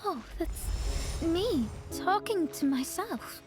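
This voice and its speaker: A woman's voice: very high voice, expressive, extremely slow, heavily acted. A woman voicing a proud, teasing young genius astrologist in an anime game, in a self-assured way, over music. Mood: earnest, composed. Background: background music.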